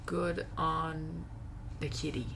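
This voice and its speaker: A woman's voice: deep voice, little pitch movement, slow. A woman co-hosting a book discussion, casually giving reactions. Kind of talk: casual chat. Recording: clear background noise.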